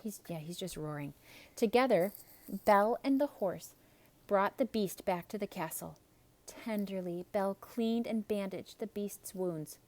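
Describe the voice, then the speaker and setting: A woman reading a children's story aloud in an expressive, theatrical narrating style. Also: medium pitch, measured pace. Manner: expressive, dramatic.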